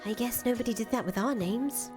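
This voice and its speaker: A woman's voice: on the high side, a little fast, close to an everyday voice. A woman voicing a patient, loyal young woman in a visual novel, reassuring and casually sweary. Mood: surprised, dismayed. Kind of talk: voiced reading of visual novel dialogue. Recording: music playing under the voice.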